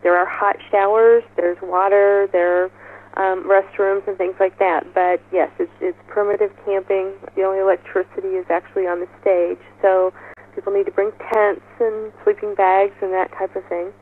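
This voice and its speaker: A woman's voice: mid-range voice, level tone, normal speed. Woman on a narrow, tinny phone line. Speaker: festival organizer being interviewed. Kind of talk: informal phone interview, explaining event details. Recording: muffled narrowband telephone line.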